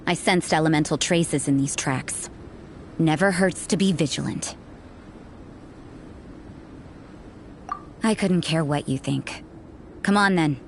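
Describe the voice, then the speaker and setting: A woman's voice: deep voice, normal speed, slight background noise. A woman playing a cool, sardonic, suspicious church sister in a video game, with a dry, cynical, interrogating manner. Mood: cool, aloof.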